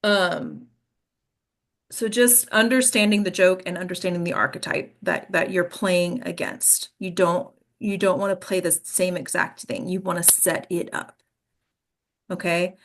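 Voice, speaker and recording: A woman's voice: on the low side, steady tone, measured pace, fairly bright tone. Lady acting coach explaining stuff casually, keeps checking everyone's following. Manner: explanatory, checks in with "okay?" and "right?". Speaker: acting coach and class host. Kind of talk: informal teaching talk.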